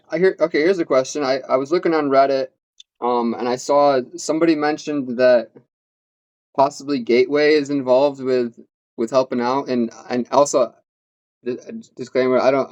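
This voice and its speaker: A man's voice: mid-range voice, fairly even intonation, normal speed, a little mellow, dry recording, low-quality recording. A man from Western New York hosting a podcast, hesitant and fumbling for his words. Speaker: podcast host. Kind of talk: casual podcast chat.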